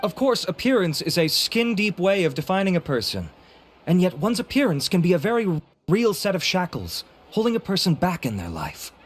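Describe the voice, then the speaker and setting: An actor playing a handsome, vain, suave inventor who gives a grandiloquent, theatrical sales pitch in a video game. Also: male voice, very animated, moderate pace, slightly rough, heavily acted. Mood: grandiose. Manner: pompous, grandiloquent. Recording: music bed behind voice.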